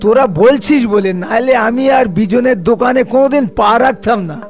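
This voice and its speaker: A man playing a touchy, bossy village storyteller uncle who scolds huffily in an animated story. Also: very high-pitched, moderate pace, soft background music. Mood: huffy. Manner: scolding, huffy.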